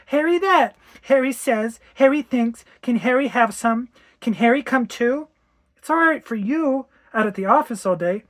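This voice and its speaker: Female reader as anxious mother in a story, emphatic, strained, voice lifted high. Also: fairly bright tone, no echo. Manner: tense, emphatic. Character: anxious, fretful young mother at her nerves' end.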